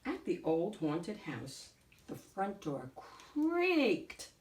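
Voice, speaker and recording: A woman reading a picture book aloud as host, in a deliberate, theatrical storytelling way. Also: medium pitch, huge pitch swings, darkish tone, recorded from a distance. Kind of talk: expressive picture-book narration. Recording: echoey room, off the mic.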